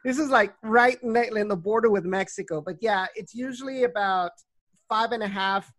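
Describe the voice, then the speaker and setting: A woman's voice: medium pitch, moderate pace. A woman from the Rio Grande Valley in South Texas, a soccer reporter explaining things conversationally as an interview guest. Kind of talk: casual interview chat.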